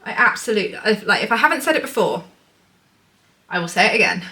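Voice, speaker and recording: Woman, 30s, casual vlogger, bright sharp tone, rambling chat. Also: medium pitch, expressive, quick pace. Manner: chatty, rambling, upbeat. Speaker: knitting vlogger. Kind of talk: casual chatty vlog.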